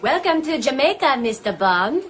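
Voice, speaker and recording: High-voiced, forward young photographer. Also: female voice, sing-song, normal speed, a bit bright. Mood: coy, probing. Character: forward, coy young female press photographer. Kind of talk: radio drama acting. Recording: faint crowd behind the voice.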